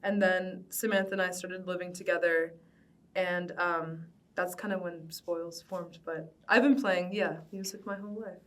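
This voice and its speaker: A woman's voice: on the low side, fairly even intonation, moderate pace, recorded from a distance. A young American woman speaking casually in an interview, loose and informal, with "like" sprinkled through her sentences. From Cincinnati, United States. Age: young adult. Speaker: band vocalist being interviewed. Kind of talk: casual conversational oral history.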